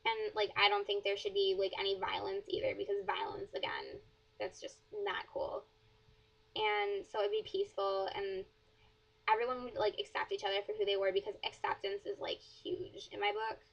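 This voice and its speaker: Rambling teen girl with flat delivery. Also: mid-range voice, normal speed, creaky. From southern Wisconsin, USA. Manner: rambling, many fillers and "like". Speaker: blogger answering questions. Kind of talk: casual Q&A vlog. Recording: dull lo-fi webcam audio.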